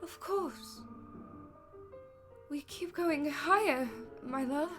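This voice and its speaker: Radio drama sweet young Victorian lady: high voice, drowsy, unhurried, music behind. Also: heavily acted. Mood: dreamy. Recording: loud music under the voice.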